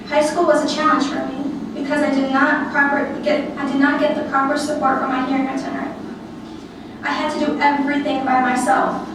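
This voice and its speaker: High-pitched young woman in an echoey room. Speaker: student giving a presentation. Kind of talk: prepared personal speech. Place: echoey room, recorded from a distance. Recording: echoey room, distant mic, noisy background.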